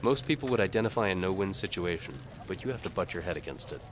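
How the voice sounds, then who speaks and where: A man's voice: low-pitched, lively intonation, fast, background noise, voice pushed up. A man playing a blunt, exasperated, commanding medieval sheriff in an audio drama. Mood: stern. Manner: commanding, loud. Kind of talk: audio drama character acting.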